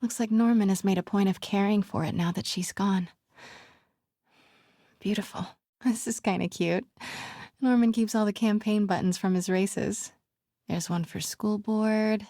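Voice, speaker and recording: Young woman sleuth, curious and determined, soft husky voice, musing to herself, close dry recording, video game dialogue. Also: medium pitch, no room sound. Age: young adult. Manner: natural, musing aloud, often dropping to hushed murmurs.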